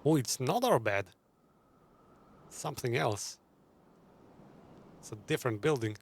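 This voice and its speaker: A man's voice: mid-range voice, big pitch swings, a little slow. A man streaming a video game, giving casual, chatty commentary as he plays.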